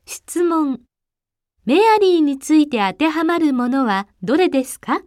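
High-voiced woman reading exam instructions. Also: expressive, fairly quick, darkish tone, dry recording, clean studio sound. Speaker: test narrator.

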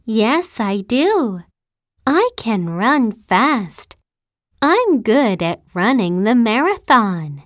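A woman voicing a cheerful young schoolgirl from Italy, in a slow, clearly articulated listening-test dialogue. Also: on the high side, very animated, no echo, studio quality, full character voice.

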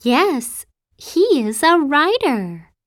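A cheerful young schoolgirl from Italy, as a woman reads her, with a high voice that swoops up and down. She speaks at a very slow, careful pace, with each word clearly shaped. The recording is close and dry. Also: sing-song, no room sound, heavily acted. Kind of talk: slow, carefully enunciated test dialogue.

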